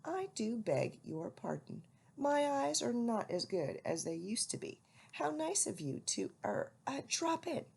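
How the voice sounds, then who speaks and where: A woman's voice: on the high side, wildly swooping pitch, unhurried, gravelly, darkish tone, breathy edge, full character voice. A woman as a genteel, kindly old lady. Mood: polite. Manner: genteel, polite. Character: polite, kindly old lady with poor eyesight.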